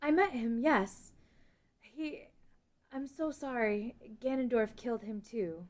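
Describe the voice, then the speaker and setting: A woman's voice: fairly high pitch, takes it slowly, a little mellow. A woman playing a stubborn, brave young princess in a fantasy table read. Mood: earnest.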